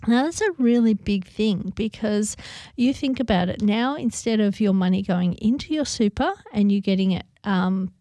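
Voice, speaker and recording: A woman with an Australian accent hosting community radio, her voice hoarse as it comes back, explaining things chattily with many ums. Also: mid-range voice, normal speed, dark tone, no room sound. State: hoarse, recovering voice. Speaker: radio host. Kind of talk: casual community radio chat.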